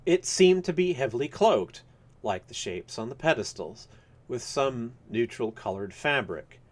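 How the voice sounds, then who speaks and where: A man reading a literary horror story aloud live, with measured, formal and dramatic phrasing. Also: mid-range voice, expressive. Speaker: reader of a story.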